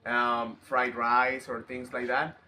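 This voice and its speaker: A man's voice: mid-range voice, completely flat delivery, slow pace, sharp tone. A man from Veracruz with a Mexican accent, a native Spanish speaker, answering interview questions in hesitant second-language English, searching for words. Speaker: interview guest. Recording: faint background ambient noise.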